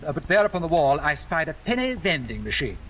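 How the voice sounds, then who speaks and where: An actor playing a fussy, pompous gentleman villain in a 1940s radio drama, stammering through ornate phrasing. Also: fairly high pitch, big pitch swings, fast talker. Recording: dull lo-fi vintage radio with faint hiss.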